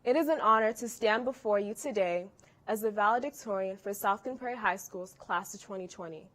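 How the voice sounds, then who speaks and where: A teenage girl whose first language is Yoruba gives her valedictorian address. She speaks formally, with a note of gratitude, in a voice of middle pitch. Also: brisk, a bit bright. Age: teens. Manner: formal, grateful. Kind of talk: prepared ceremony speech.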